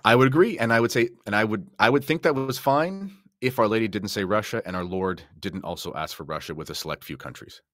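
A man's voice: on the low side, lively intonation, quick pace, hardly any pauses, a bit bright, dry recording, studio quality. A man talking casually and emphatically as he explains religious material on a podcast. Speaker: podcast guest discussing religion. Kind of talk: casual explanatory talk with slides and notes.

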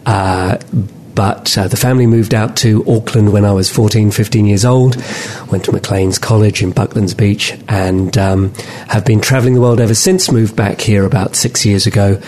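Man from England, middle-aged, low voice, wry and relaxed, faint rumble behind. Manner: relaxed and wry, laughs easily, with frequent "uh" pauses. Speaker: podcast guest. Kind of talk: casual interview chat.

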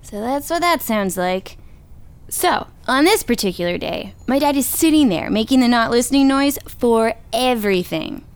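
A woman playing an excitable young child who tells tall tales in a theatrical, animated way for a children's story. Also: fairly high pitch, normal speed, smooth. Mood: lively, amused. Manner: theatrical, exuberant, acts out voices.

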